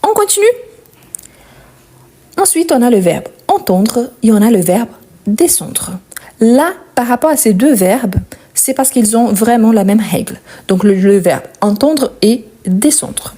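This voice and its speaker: A woman's voice: on the high side, expressive, a little slow, dark tone. A woman with a non-native French accent giving a live French conjugation lesson, patiently repeating each verb form with fillers and asides. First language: not French. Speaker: French teacher.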